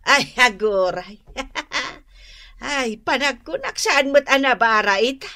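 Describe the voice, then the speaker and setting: A nosy, high-pitched Ilocano villager. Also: female voice, expressive, takes it slowly, sharp tone, heavily acted. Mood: suspicious, teasing. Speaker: radio drama actor. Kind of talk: Ilocano radio comedy-drama acting.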